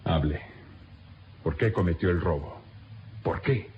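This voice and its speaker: Insistent police captain, low voice, formal, old radio drama, slightly hissy muffled tape. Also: male voice. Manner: insistent, formal, halting with disbelief. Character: incredulous, dutiful male police captain interrogating a prisoner. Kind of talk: old radio serial acting. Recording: dull, noisy old radio recording.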